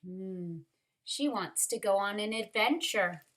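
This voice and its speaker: A woman reading a picture book aloud to young children in an expressive, deliberate way, with drawn-out words and little asides to the listeners. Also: medium pitch. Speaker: storytime reader, teacher.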